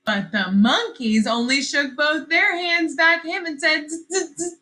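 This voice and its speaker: A woman teacher reading a story aloud to young children, expressive and questioning, repeating phrases for emphasis. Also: sits high, a little slow.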